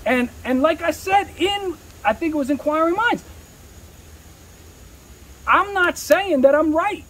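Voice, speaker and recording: A man's voice: very high voice, expressive, measured pace, a bit bright, poor audio. A man talking candidly in an interview as a rapper, with slangy, streetwise speech peppered with swearing.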